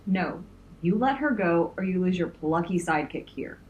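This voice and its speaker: A low-pitched, sardonic villain, acted by a woman, cocky and defiant, bargains hard and taunts in an audio drama. There is faint noise and ambience behind the voice. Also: animated, normal speed, darkish tone, lo-fi sound, lightly acted.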